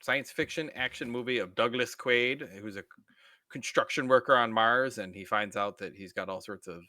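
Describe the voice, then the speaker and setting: A man's voice: mid-range voice, normal speed, bright, forceful tone, dry recording. A man co-hosting a casual podcast, joking crudely and summing up a movie. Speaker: podcast co-host.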